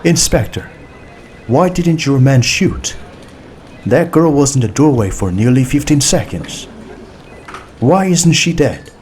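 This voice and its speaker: A man voicing a forceful, impatient army colonel in an audiobook, insistent and military in manner. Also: mid-range voice, expressive, takes it slowly, pauses often, slight background noise, voice pushed up. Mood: indignant. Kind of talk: audiobook character dialogue.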